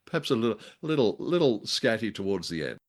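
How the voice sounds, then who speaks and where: A low-pitched man talks as co-host of a radio drama show. His delivery is casual and bookish, with a halting, wry turn to his remarks. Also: big pitch swings.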